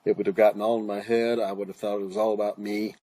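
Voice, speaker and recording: A man's voice: on the low side, level tone, fast talker, warm tone. A middle-aged man, an author and pastor, talking conversationally and telling stories as an interview guest.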